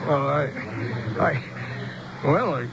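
A bewildered male outsider in an old radio play. His questioning is incredulous and hesitant, with big swings in pitch. The recording is dull, with clear background noise. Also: animated, slow pace, exaggerated voice. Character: bewildered male outsider stranded in a strange town. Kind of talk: radio drama acting.

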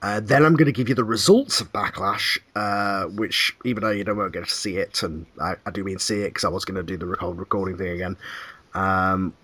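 Brief: male podcast host, hoarse croaky voice, low-set but sharp-edged tone, bone-dry close sound, rambling casual delivery. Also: fairly even intonation, fairly quick, no room sound. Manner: meandering, casually swearing; clears throat often.

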